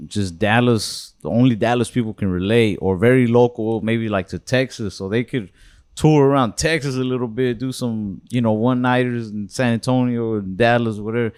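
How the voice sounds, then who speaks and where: A young man hosting a podcast, talking in a mellow, laid-back way with lots of "bro" and "like". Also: mid-range voice, moderate pace, smooth. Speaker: comedian, podcast host. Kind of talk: casual podcast chat.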